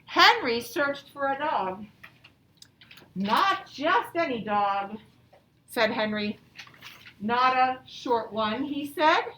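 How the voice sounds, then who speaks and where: A woman's voice: high voice, lively intonation, drawn-out words, long pauses, pushed and bright. A woman elementary school teacher instructing young children and reading aloud, often checking understanding with questions. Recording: roomy, distant mic with faint noise.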